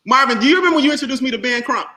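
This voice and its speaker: A man telling a long, animated story on a group video call as host and trainer, loud and laughing, with casual slang. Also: very high-pitched, rapid-fire. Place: home room on video call. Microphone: webcam or laptop mic on video call. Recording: echoey room, faint noise.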